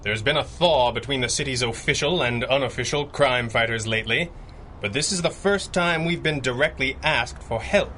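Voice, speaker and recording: An actor playing a confident masked crime-fighter in a radio drama, dry, cool and sardonic. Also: male voice, mid-range voice, normal speed, bright, forceful tone, a little hiss or hum, close to an everyday voice. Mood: cool, wry. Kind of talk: 1930s-set radio drama acting.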